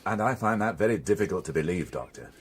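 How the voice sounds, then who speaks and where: A man's voice: sits low, expressive, fast talker, slightly rough. A man acting in a radio drama as a dry, grumbling police inspector, wry and easily indignant. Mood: probing, stern. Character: dry, grumbling male police inspector, mildly old-fashioned. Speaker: actor.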